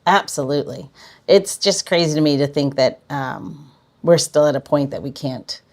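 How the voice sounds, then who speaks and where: Woman host, very low voice, casual halting speech. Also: mellow. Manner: hesitant, many restarts. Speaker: podcast host. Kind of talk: casual interview chat.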